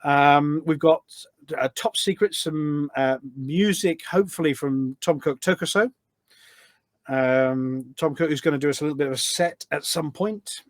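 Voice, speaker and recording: British guy on a podcast, fairly high voice, dry close sound, chatty and self-deprecating. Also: measured pace, dry recording. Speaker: podcast guest, community event organiser. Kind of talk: casual chat. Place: office.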